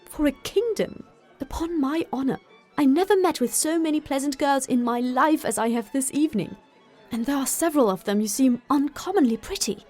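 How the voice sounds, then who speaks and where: A woman voicing a sociable, enthusiastic young gentleman in an audiobook, with an emphatic manner. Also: high voice, moderate pace, soft background music, pitched above the performer's own voice, natural voice. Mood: eager, admiring. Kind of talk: audiobook character voice.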